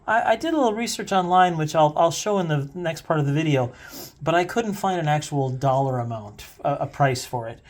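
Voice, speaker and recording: A man's voice: fairly high pitch, lively intonation, a little fast. A man hosting a casual, unscripted gadget demo, hesitating and thinking aloud as he fiddles. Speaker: gadget video host.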